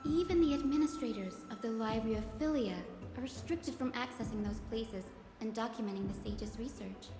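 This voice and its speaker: Game role: young female scholar guide played by a woman, high-pitched, expressive storyteller, music underneath. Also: brisk, few pauses, natural voice. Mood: informative. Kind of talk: video game story narration and dialogue. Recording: echoey hall reverb, music behind.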